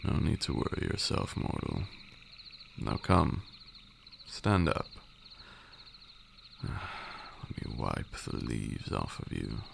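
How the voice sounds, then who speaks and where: A man playing an ancient, haughty vampire lord in an ASMR roleplay, a condescending mentor with formal, archaic speech and a gravelly voice. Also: takes it slowly, long pauses, full character voice. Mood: soothing, condescending. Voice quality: very deep, gravelly. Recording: steady noise with night insects. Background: crickets and night insect ambience.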